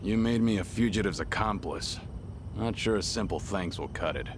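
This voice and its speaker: A man playing a stoic, weary, gruff ex-yakuza legend in a video game cutscene, terse and deadpan with dry humour. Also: on the low side, normal speed, noisy background, close to an everyday voice. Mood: dry teasing. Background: loud music.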